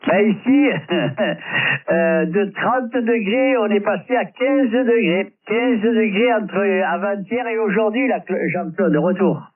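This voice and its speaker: A man's voice: high voice, slow, recorded from a distance, phone-quality sound. A man chatting casually as an amateur radio operator over a band-limited shortwave transmission. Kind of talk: casual ham radio chat. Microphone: radio transceiver microphone.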